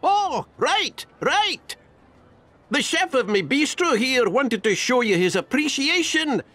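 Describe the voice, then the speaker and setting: An actor playing a cheerful old Scottish duck uncle in an animated video game. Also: male voice, very high voice, slow pace, exaggerated voice. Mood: hearty, genial.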